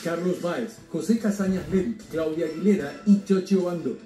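A man's voice: fairly high pitch, normal speed, reverberant, music in the background. A man voicing a scripted station promo with punchy, emphatic phrasing.

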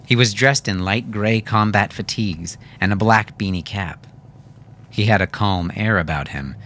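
A man with a low voice narrates a story in a scripted audiobook style. There is clear noise behind him. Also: a bit bright.

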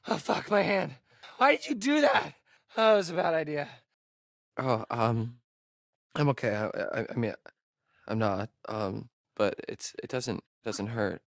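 A man's voice: medium pitch, expressive, unhurried, gravelly, a bit bright, no room sound, clean studio sound. A young man with an American accent playing a sarcastic, nervy camp counselor in a video game, speaking in a hesitant, stammering way. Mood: panicked, pained. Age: young adult. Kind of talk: naturalistic video game acting.

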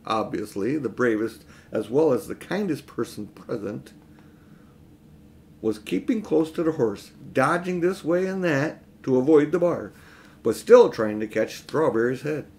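A middle-aged man reading a children's storybook aloud in expressive narration. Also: medium pitch. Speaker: audiobook reader. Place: small room at home, indoors.

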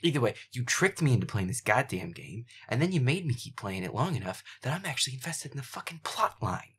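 A man's voice: mid-range voice, very animated, fairly quick, a bit bright, breathy edge. A man voicing a feisty, foul-mouthed young boyfriend, grumbling and exasperated, in a gameplay audio roleplay. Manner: grumbling, profane, exasperated, often muttering under breath. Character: feisty foul-mouthed werewolf boyfriend grudgingly playing scary game.

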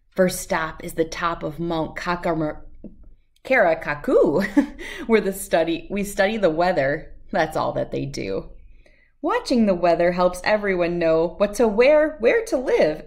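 Expressive American woman reading to children. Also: lowish voice. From United States, lived in Wisconsin. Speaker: children's read-aloud host. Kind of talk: storybook read-aloud with casual introduction.

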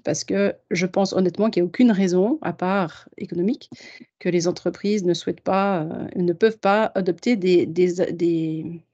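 A woman's voice: on the low side, warm tone. Chatty woman from Canada on a video call. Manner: chatty, many fillers and restarts. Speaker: sustainability consultant. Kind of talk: video call self-introduction. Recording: dull video call audio.

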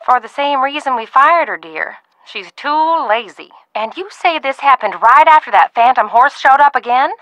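A woman acting a kindly, fretful middle-aged ranch-owner aunt in a video game, talking in a folksy, motherly, expressive way. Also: high-pitched, normal speed, hardly any pauses, dry recording, rough recording. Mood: matter-of-fact, gossipy, then worried.

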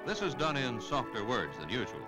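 A man's voice: medium pitch, wildly swooping pitch, fairly quick, poor audio. A man with an American accent reading scripted 1950s newsreel narration in a polished announcer delivery. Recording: 1950s newsreel soundtrack, music behind.

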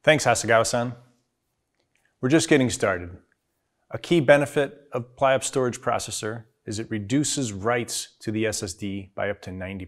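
A middle-aged man presenting a scripted keynote with a polished, promotional delivery. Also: fairly low pitch. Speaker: company executive presenting a keynote.

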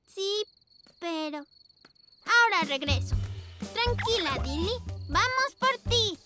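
Little girl pug puppy, preschool cartoon: tiny high voice, unhurried, earnest, expressive, music underneath. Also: exaggerated voice. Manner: expressive, exclaiming, childlike. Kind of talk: dubbed preschool cartoon acting. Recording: music behind the voice.

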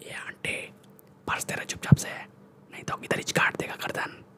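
A man's voice: normal speed, bright, forceful tone, natural voice. A young man with an Indian accent playing a cocky, threatening street gangster in a whispered ASMR roleplay, speaking bossy street slang close to the microphone. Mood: menacing. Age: young adult. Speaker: ASMR performer. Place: small room indoors. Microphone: close-up large desktop microphone.

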